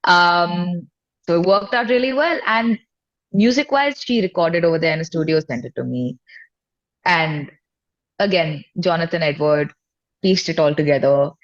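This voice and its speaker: A woman's voice: on the low side, animated, normal speed. Woman chatting casually, dull audio. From India. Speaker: singer-songwriter guest. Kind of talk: casual chat in an interview.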